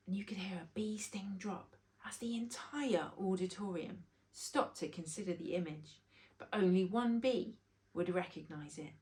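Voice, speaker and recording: A woman reading a children's story aloud as an expressive narrator. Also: mid-range voice.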